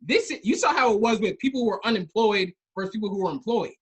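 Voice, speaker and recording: A man's voice: sits high, expressive, quick pace, husky. A man co-hosting a comedy podcast, arguing his point and repeating himself a lot. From Los Angeles. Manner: argumentative, repetitive. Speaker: podcast co-host. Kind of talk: casual comedic chat.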